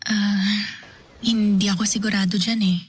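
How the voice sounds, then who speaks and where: A woman's voice: medium pitch, flat delivery, a little slow, breathy edge. An actress playing a weary, guarded woman in her thirties, speaking hesitantly and apologetically in a radio drama. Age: 30s.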